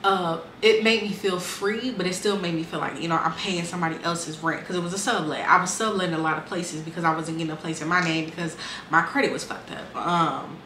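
A woman's voice: lowish voice, fairly even intonation, a little fast, bright, forceful tone, reverberant, slight background noise. A woman in her twenties casually and candidly telling her life story, with lots of "um" and "like". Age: 20s. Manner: candid, conversational, frequent fillers and restarts.

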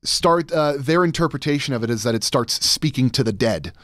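A man in his thirties chatting casually as an interview guest, with halting, rambling explanations, close on a studio microphone. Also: mid-range voice, lively intonation, fairly bright tone, no echo. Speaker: guest, editor and sound designer. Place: indoor podcast studio. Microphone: close studio microphone on a boom.

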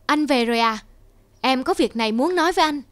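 A woman playing a caring, quietly resolute wife in a cartoon drama, gentle and measured. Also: sits high, lively intonation. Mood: sad, resolute.

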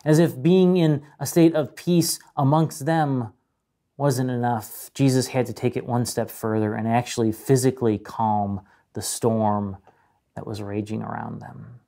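Mellow-voiced pastor preaching with dramatic pauses. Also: male voice, mid-range voice, steady tone. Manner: measured, dramatic pauses and emphasis. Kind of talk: sermon. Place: indoor room with a painted mural, speaking to camera.

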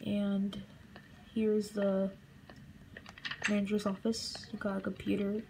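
A young woman casually narrating a walkthrough of a game build she made, halting and relaxed, with fillers. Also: medium pitch, slight background noise, rough recording. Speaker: channel host.

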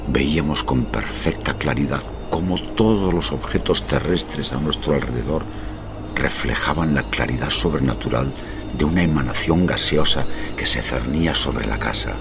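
An actor playing a grave, rational gentleman narrating a horror audio drama in a measured, literary way. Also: a bass voice. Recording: noisy storm ambience behind.

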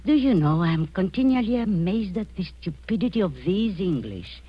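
A woman's voice: mid-range voice, very animated, moderate pace. An actress playing a ruthless, imperious middle-aged woman spy posing as a kindly aunt, in theatrical 1940s radio style. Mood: scornful, gloating. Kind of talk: 1940s radio suspense acting. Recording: muffled 1940s radio recording.

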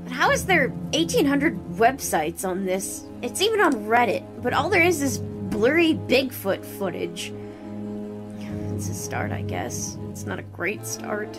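A woman's voice: on the high side, wildly swooping pitch, measured pace, over music. A woman playing an anxious, determined young friend of a hero, thinking aloud in an audio drama. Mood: worried, musing.

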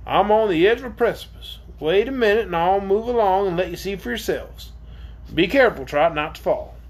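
A woman voicing a gruff, cautious old sailor man from a storybook, plain-spoken and using folksy dialect. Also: medium pitch, animated, a little fast. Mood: dismayed, cautious.

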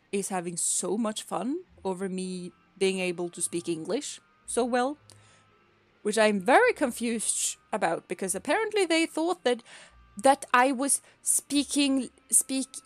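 A woman's voice: fairly high pitch, no room sound. A young woman from Sweden with a light Swedish accent, chatting casually with her chat while she plays a game, laughing often and restarting her words. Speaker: streamer and teacher. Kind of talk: casual gaming stream chat.

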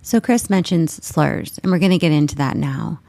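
A woman hosting a podcast, reading scripted narration in an earnest, measured way. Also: deep voice, lively intonation, creaky, dark tone. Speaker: podcast host. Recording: music bed underneath.

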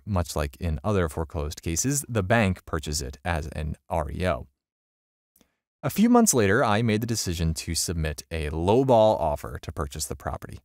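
Deep-voiced man narrating a story. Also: dry recording, clean studio sound. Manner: animated storytelling with wide pitch swings. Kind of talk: reading a first-person online story aloud.

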